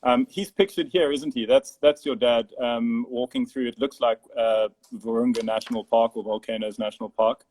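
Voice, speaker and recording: A man's voice: medium pitch, steady tone, darkish tone, rough recording. Casual, tentative male interviewer. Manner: tentative, halting questions. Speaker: interviewer, production company director. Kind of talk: casual live chat interview. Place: live social media video chat.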